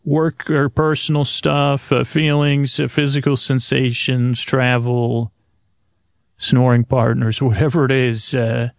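A man hosting a sleep podcast in a creaky, gravelly voice, meandering and hesitant in a soothing, lulling bedtime monologue. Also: mid-range voice, little pitch movement, dry recording, studio quality. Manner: meandering, halting, hesitant, tangent-prone. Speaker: podcast host.

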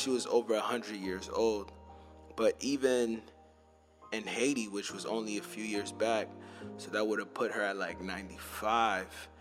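A young man hosting a podcast, telling loose, slangy stories in a casual way and dropping into low asides. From Montreal, Canada. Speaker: podcast host. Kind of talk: casual storytelling.

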